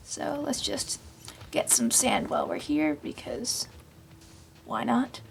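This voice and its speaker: Woman gamer, slightly raspy, gravelly, lively pitch, rambling self-deprecating commentary, faint keyboard noise behind. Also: slow. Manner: rambling, self-deprecating, talks to herself while playing. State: slightly raspy from long talking. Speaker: gaming channel host. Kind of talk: casual Minecraft let's play commentary.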